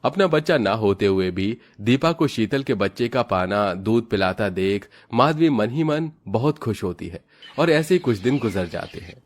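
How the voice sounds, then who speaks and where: A man's voice: on the low side, expressive, normal speed. A man narrating a scripted moral story for children as its storyteller.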